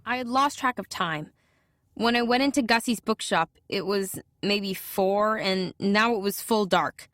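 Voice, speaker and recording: Woman as a schoolgirl narrating an audio drama, medium pitch, really dry sound. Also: unhurried, fairly bright tone, no echo, close to an everyday voice. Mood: reflective. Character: schoolgirl heroine narrating her own story. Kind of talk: first-person drama narration.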